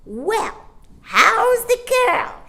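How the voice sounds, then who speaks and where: A woman's voice: very high-pitched, sing-song, extremely slow, creaky, pushed and bright, voice pushed up, heavily acted. A woman voicing a cheeky, boastful male starling who shrieks with laughter and talks in a wheedling way.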